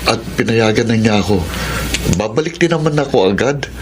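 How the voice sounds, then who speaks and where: An actor playing a kindly, cautious middle-aged household employee in a radio drama. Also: male voice, mid-range voice, animated, fairly quick, background noise, natural voice. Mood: concerned, cautioning.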